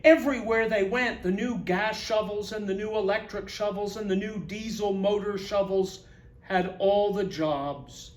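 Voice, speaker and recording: A man reading a children's picture book aloud to young listeners in an expressive, emphatic storyteller's manner. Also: sits high, measured pace, reverberant. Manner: expressive, emphatic, explains words in asides.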